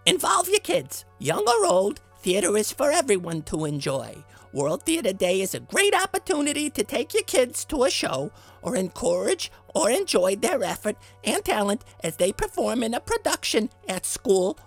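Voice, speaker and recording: Man playing a theatrical dad with wildly swooping pitch. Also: wildly swooping pitch, moderate pace, vocal fry, hardly any pauses, faint music behind, exaggerated voice. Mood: earnest, effusive. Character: theatrical, earnest middle-aged dad. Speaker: podcast segment presenter. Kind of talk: scripted segment presentation.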